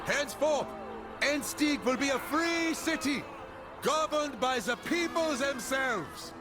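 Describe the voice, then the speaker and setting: An actor playing an earnest rebel leader in a fantasy game, speaking in a solemn, formal, oratorical way. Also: male voice, extremely high pitch, animated, slow pace, heavily acted. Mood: rousing, proclaiming. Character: earnest male rebel leader proclaiming a free city. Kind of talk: dramatic fantasy game dialogue. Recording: music behind the voice.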